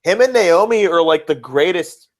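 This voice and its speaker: A man's voice: a little high, expressive, moderate pace. Blunt male podcast co-host, repetitive for emphasis. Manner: repeats phrases for emphasis, blunt and critical. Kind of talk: casual wrestling commentary chat.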